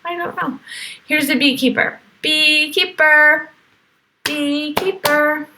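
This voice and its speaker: High, bright-voiced woman teaching young children. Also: takes it slowly. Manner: animated, exclaiming and questioning as if to young children. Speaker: teacher reading to a virtual class. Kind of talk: children's read-aloud with teaching asides.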